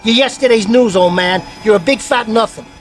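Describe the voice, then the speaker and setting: A man's voice: high voice, fairly quick, slight background noise, lightly acted. An actor playing a sour, scornful man in his thirties in a radio drama, brash, slangy and combative. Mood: angry, scornful. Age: 30s.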